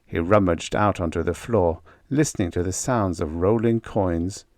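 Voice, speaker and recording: A man reading a story aloud as an audiobook narrator, in a measured, expressive literary manner. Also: low voice, dry recording.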